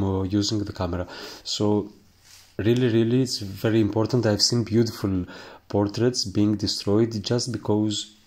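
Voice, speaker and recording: A man from Greece with a thick Greek accent, a painting teacher talking through his work in a halting way and often searching for words. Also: lowish voice. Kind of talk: instructional voice-over while painting.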